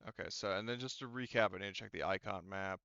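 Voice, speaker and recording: Male game master, clear dry voice, casual improvised narration, rambling and stop-start delivery. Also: lowish voice, quick pace, sharp tone, no room sound, muffled recording. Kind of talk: improvised tabletop roleplaying narration.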